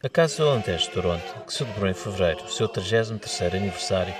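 Brisk low male reporter over music. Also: lively intonation. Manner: brisk, formal. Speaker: radio reporter. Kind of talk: scripted report narration. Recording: distant voice over loud music.

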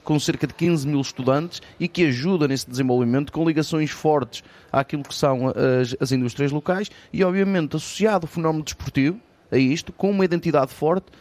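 A man's voice: on the high side, fairly quick, a little mellow. A man with a European Portuguese accent, a lecturer giving a radio interview in earnest, formal, academic phrasing. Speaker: studio guest, university sports lecturer.

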